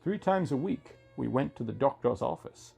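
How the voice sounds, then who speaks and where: A man's voice: medium pitch, expressive, moderate pace, gravelly, mellow, slightly rough. A man reading a scripted first-person story as its narrator, with music behind him.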